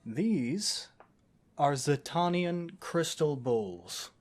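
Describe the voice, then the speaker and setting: A man's voice: fairly high pitch, lively intonation, very slow. Man playing a courtly, affectionate wizard boyfriend. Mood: gentle, instructive. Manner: courtly, gentle, eloquent formal phrasing. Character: affectionate, scholarly young male wizard boyfriend in fantasy city. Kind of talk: intimate scripted boyfriend roleplay audio.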